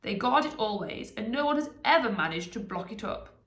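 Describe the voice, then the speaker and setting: A woman's voice: on the high side, expressive, a little fast, a bit bright. Woman reading as an emphatic old wizard, roomy echo. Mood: explanatory. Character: clever old male wizard, brisk, proud, commanding.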